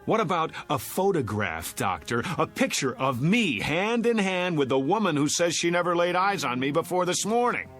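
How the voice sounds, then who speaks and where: A desperate American man on the run in a television drama. His voice is on the high side and swings with agitation. He stammers and insists urgently, with faint music behind him. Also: big pitch swings, normal speed, few pauses, a bit bright. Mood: agitated, triumphant. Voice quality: stammering, breaking phrases.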